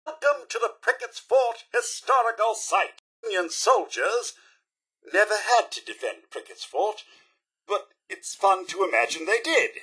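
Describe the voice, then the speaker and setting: Posh-mannered british robot servant, video game, high pitched and unhurried, chipper, prim, very theatrical. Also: male voice, animated, bright, forceful tone, husky, full character voice. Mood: announcing. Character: courteous, chipper robot butler with theatrical flair. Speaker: robot servant and tour guide. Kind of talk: video game character voice lines.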